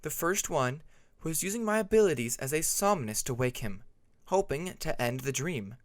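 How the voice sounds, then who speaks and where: A man voicing a calm, frank female dragon who explains dream lore in a measured way, in a narrated fantasy story. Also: fairly high pitch, dry recording, close to an everyday voice. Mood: explaining, grave.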